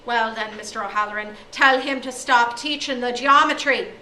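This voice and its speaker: A woman's voice: medium pitch, animated, moderate pace, pushed and bright, a little hiss or hum. A woman voicing a hot-tempered older male schoolmaster who bellows insults. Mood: furious. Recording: echoey room from far off.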